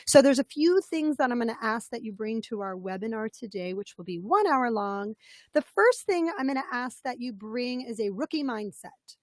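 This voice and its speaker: A woman with a slightly scratchy, fairly high voice leads a webinar as a coach. She talks in an upbeat, chatty way and greets people by name. The sound is dry and close. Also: no echo. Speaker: business coach presenting a webinar. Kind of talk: interactive webinar talk.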